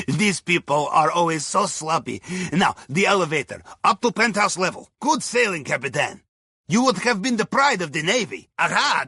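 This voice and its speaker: A man playing a wry Russian submarine navigator and heist advisor in a video game, with a Russian accent, dry dark humor and dropped articles. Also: high voice, breathy edge, no room sound, exaggerated voice. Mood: wry amusement, then pleased, businesslike. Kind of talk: video game mission dialogue.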